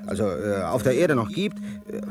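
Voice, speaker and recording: An actor playing an older, learned and bitter space-voyaging scientist in a science-fiction radio play, lecturing and sardonic. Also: male voice, fairly low pitch, big pitch swings, moderate pace, over music. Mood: irritated, lecturing.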